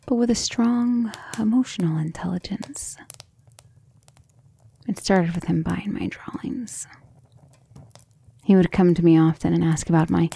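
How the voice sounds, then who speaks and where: A woman playing a centuries-old vampire lover in an intimate ASMR roleplay, breathy and often near a whisper, speaking with refined, devoted affection. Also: medium pitch, mellow, exaggerated voice. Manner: affectionate, refined, hesitant musing.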